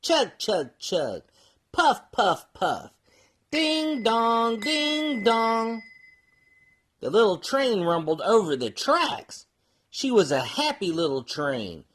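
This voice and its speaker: A performer voicing a cheerful folksy mule who reads a children's storybook aloud in an animated, sing-song way. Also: mid-range voice, slow, exaggerated voice. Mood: gentle storytelling.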